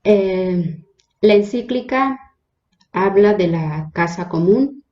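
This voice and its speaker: A religious sister from Hidalgo, Mexico, whose first language is Nahuatl, introducing herself in an interview with a hesitant manner and many fillers. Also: female voice, medium pitch, lively intonation, takes it slowly, reverberant, muffled recording. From Mexico, Hidalgo state. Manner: hesitant, frequent fillers and restarts.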